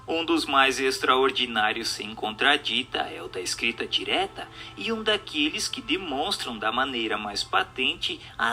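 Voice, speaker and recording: A man reading a formal book as scripted narration, in a measured, didactic and emphatic manner. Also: mid-range voice, sharp tone. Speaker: narrator, audiobook reader. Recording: music bed under the voice. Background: music.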